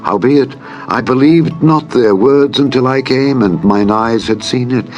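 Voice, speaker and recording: A man's voice: mid-range voice, measured pace, a little mellow, lo-fi sound, soft background music, voice pushed up, close to an everyday voice. A man voicing an admiring, reverent visiting queen in a formal, declamatory scripture reading. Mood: reverent admiration.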